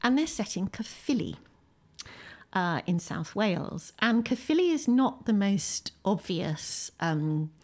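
A woman's voice: mid-range voice, very animated, slow, a little mellow. A British woman talking chattily and emphatically about books as a guest, sometimes reading aloud. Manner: enthusiastic, emphatic. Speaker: guest writer, poet. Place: a room in a home.

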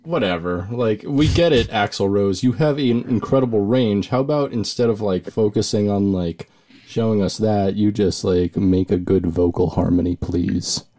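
A man's voice: fairly low pitch, moderate pace, mellow. A man co-hosting a casual music podcast, rambling with fillers and acting out silly quotes. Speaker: podcast co-host.

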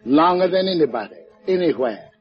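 Actor doing gentle loyal island musician from old radio, highish voice, broken english, music behind. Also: male voice, expressive, brisk, lo-fi sound, natural voice. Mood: fond. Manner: quaint broken English, singsong storytelling. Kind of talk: 1950s radio drama acting.

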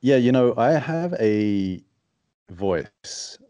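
A man speaking as a podcast guest, with a deep, mumbly voice and a quiet, introverted, reflective way of talking. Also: lively intonation, drawn-out words, no room sound. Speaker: podcast guest, author and strategist. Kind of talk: casual interview chat.

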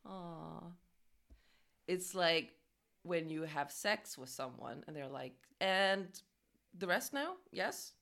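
A woman's voice: on the low side, lively intonation, slow, vocal fry, a bit bright. A young woman from Denmark co-hosting a podcast, teasing with bawdy jokes and laughing a lot. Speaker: podcast co-host. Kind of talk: casual chat.

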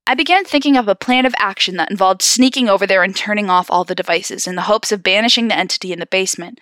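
A woman's voice: medium pitch, quick pace, no room sound. A woman podcast host reading horror stories aloud, with casual, amused asides. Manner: giggly, animated in asides.

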